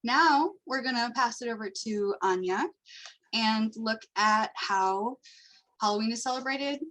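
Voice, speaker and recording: A woman's voice: a little high, unhurried, a bit bright. A woman hosting an online meetup, introducing segments over a video call. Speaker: host. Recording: faint noise on video call.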